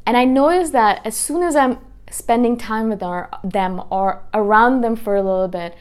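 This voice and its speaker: A woman's voice: a little high, normal speed, smooth. A woman in her thirties, a native Hindi speaker whose accent blends British, Canadian and Indian sounds, chatting casually to camera in a rambling way, often restarting and correcting herself. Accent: mixed British, Canadian and Indian. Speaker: YouTuber. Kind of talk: casual talking-to-camera vlog.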